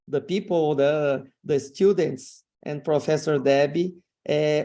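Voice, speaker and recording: A man's voice: a little high, warm tone. A man who is a professor hosting a webinar, speaking informally with hesitant, repetitive phrasing as he searches for words. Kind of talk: informal spoken introduction.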